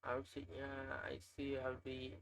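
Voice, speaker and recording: A man's voice: mid-range voice, completely flat delivery, moderate pace, vocal fry, a bit bright, a little hiss or hum, low-quality recording. Nepali-accented young man thinking aloud. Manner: thinking aloud, hesitant with frequent uhs and self-corrections. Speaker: chess learner narrating puzzles. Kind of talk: casual screen-recorded commentary.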